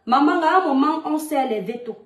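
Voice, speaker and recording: Thirties woman with a Cameroonian French accent, high voice, scolding monologue, roomy echo. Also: lively intonation, fairly quick. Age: 30s. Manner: scolding, lecturing, rhetorical questions. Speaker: mother addressing a public figure online. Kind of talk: monologue video rant.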